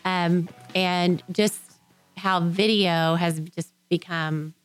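Give me a low-voiced woman hosting a radio interview, with faint music underneath. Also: very slow, clear, resonant tone, no room sound, rough recording. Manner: steady backchanneling, frequent "mm-hmm". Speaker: radio talk show host.

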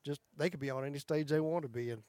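Man, high tenor, folksy chatty storyteller, chuckling, dry close sound. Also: quick pace, a little mellow, clear, resonant tone, dry recording. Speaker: country music singer and guest. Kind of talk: casual interview chat.

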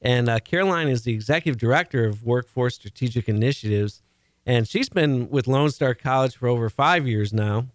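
A man's voice: mid-range voice, a little fast, dry recording. A man with a Chicago accent hosting a radio talk show, chatty and jokey, stumbling and restarting now and then.

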